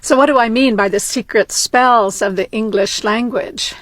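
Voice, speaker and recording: A woman giving a measured, wordplay-heavy spoken-word lecture that runs into rhyme. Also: on the high side, fairly bright tone, no echo.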